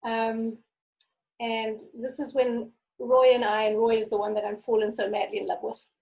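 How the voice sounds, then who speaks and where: A soft-voiced woman author speaking candidly over a video call. Her voice sits fairly high but sounds mellow and dark in tone, with a gravelly fry running through it. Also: little pitch movement, normal speed, poor audio. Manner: candid, conversational. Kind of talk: interview answers and memoir reading.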